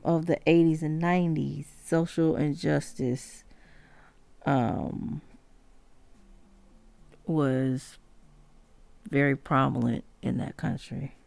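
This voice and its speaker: A woman hosting a solo music history podcast, talking haltingly with many pauses, fillers and self-corrections. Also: deep voice, vocal fry, a little mellow, no room sound. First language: not Spanish. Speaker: podcast host.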